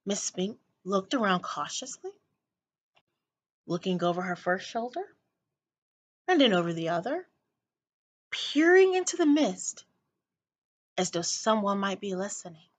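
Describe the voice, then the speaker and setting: A woman narrating a children's book aloud in an expressive read-aloud style, like a teacher to a class. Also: medium pitch, a little slow, pauses often.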